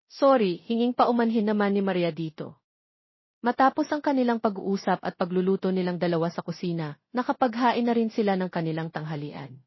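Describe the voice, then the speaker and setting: A woman's voice: medium pitch, animated, fairly quick, no echo, dull audio. A woman with a Filipino accent reading a scripted romance audiobook, voicing the dialogue as she goes. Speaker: audiobook narrator. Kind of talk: scripted romance story narration with voiced dialogue.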